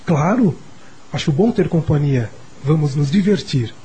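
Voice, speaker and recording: A lonely, sickly Brazilian boy in a radio drama. He confides in a childlike way. The voice is very rough and husky, raised in pitch and rather dark. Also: animated, unhurried, heavily acted, poor audio. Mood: eager.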